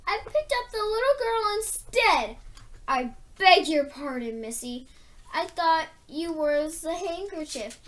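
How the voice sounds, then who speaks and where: A humble, bumbling storybook giant, acted by a female reader, huge but very polite. The voice is light and high, with a flustered, deferential manner. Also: animated, takes it slowly, fairly bright tone, full character voice. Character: Giant Rubblebuffin, huge, humble, bumbling, good-natured male giant.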